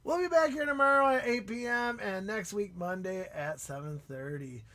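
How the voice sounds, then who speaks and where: A man hosting a live stream in casual chat, excitable and prone to loud shouts and laughter, and losing his voice. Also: high-pitched, normal speed. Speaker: livestream host.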